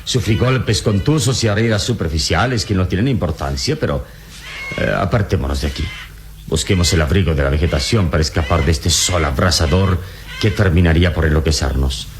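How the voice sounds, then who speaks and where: Actor as very deep-voiced noble adventure hero. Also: male voice, natural voice. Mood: reassuring. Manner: solemn, deliberate, theatrical delivery. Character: heroic adult male adventurer, calm, noble, resolute. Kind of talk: radio drama acting. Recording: noisy old radio drama recording.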